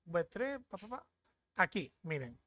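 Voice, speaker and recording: A man's voice: high-pitched, big pitch swings, a little slow, sharp tone, no echo. A young man casually walking viewers through an app on screen. He talks from a desk at home and keeps checking in with "¿ok?". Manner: chatty, repeats "¿ok?" often. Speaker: crypto app reviewer. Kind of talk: casual screen-share walkthrough.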